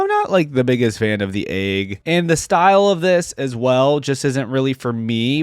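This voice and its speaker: A man's voice: medium pitch, no room sound, studio quality. A man giving casual, off-the-cuff reaction commentary as an online host, chatty and informal, thinking aloud as he goes.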